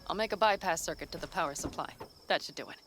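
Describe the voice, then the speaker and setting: An actress voicing a confident female mercenary in a video game, giving quick, commanding orders. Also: on the low side, fairly bright tone, a little hiss or hum, natural voice. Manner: brisk, commanding planner. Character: tough female fixer, confident and cocky. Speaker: mercenary ally.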